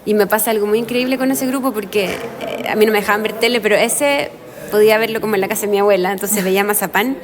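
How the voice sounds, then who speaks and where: A woman's voice: medium pitch, quick pace. A woman with a Chilean accent, speaking as a guest in a casual interview and thinking aloud with frequent fillers and restarts. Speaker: guest illustrator and author. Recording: crowd chatter in the background. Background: crowd chatter.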